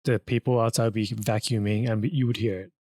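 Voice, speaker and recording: A man's voice: fairly low pitch, fairly even intonation, fast, dark tone, slightly rough, no room sound, clean studio sound. A man co-hosting a podcast, chatting casually and informally. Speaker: podcast co-host and audio editor. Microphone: close podcast microphone.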